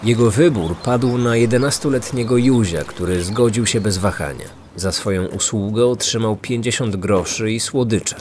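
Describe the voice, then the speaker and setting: A man's voice: fairly low pitch, normal speed, few pauses, darkish tone, a little hiss or hum. A man narrating a scripted true-crime podcast as its host, in a grave storytelling manner.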